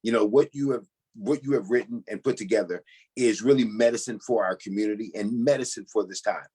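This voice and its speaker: A man's voice: on the low side, brisk, warm tone, slightly rough. A man who is a pastor, speaking as an interview guest on a video call, emphatic and repeating words. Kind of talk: casual interview talk.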